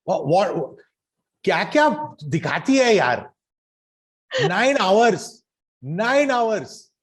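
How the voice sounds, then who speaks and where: Emphatic Indian-English man with a lively voice. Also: sits high, moderate pace, breathy edge. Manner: chatty, emphatic. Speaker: podcast co-host. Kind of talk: casual review chat.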